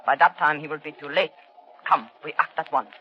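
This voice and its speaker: An actor playing a brusque, scornful ringleader of smugglers in a 1930s radio mystery. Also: male voice, fairly high pitch, fairly quick, lightly acted. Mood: cool, decisive. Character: scornful, decisive male ringleader of plotting smugglers. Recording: dull vintage radio recording.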